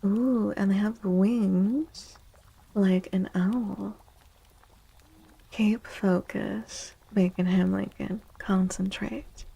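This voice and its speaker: A woman livestreamer chatting and reading tarot in a soft-spoken ASMR style, playful, teasing and mock-dramatic. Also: medium pitch, fairly even intonation, very slow, gravelly, husky, rough recording.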